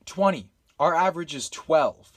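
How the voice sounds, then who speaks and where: A man's voice: fairly high pitch, lively intonation, normal speed. A man with a Canadian accent making an impassioned, unscripted appeal, emphatic and exasperated, leaning on rhetorical questions. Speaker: weather radio video maker.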